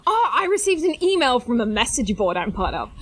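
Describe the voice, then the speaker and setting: Brainy young teen inventor , played by a woman: high-pitched, very animated, wordy and precise, mischievous audio drama hero. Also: fairly quick, slight background noise. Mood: cheerfully matter-of-fact. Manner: precise, wordy, technical phrasing. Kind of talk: scripted audio drama acting.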